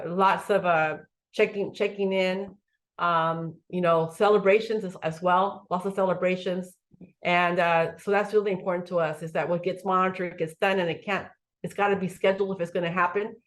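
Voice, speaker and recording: Earnest woman superintendent, lower voice. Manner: earnest, many fillers and restarts. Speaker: school district superintendent. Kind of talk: webinar presentation.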